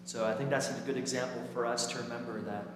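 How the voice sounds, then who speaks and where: A man around his thirties or forties with a slightly raspy voice, preaching as a pastor in an informal, lightly joking way. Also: mid-range voice, steady tone, fast talker. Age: 30s or 40s. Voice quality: a little raspy. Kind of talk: sermon and children's message in church. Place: inside a church during a service. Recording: echoey church hall, noisy, long reverb.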